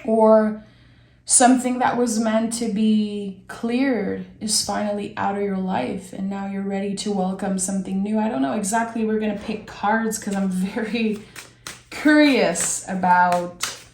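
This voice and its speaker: A young woman with a raspy voice from being sick, giving a gentle, reflective tarot reading and thinking aloud as she draws cards. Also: mid-range voice, steady tone, a little slow, echoey room. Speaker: tarot reader. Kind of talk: soft, slow tarot reading.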